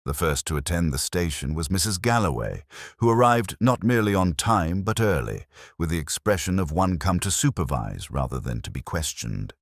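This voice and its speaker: Very deep-voiced male storyteller. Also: sing-song, moderate pace, creaky, dry recording, studio quality. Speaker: narrator of a detective story. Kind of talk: scripted literary mystery narration.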